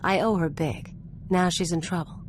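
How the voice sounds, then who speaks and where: An actress plays a tough, streetwise outlaw companion in a video game. Her voice is fairly low, with a cocky, clipped, offhand delivery. A little noise sits in the background. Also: fairly even intonation, normal speed, natural voice. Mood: determined, casual. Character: brash, streetwise female outlaw gunslinger companion. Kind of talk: conversational video game acting.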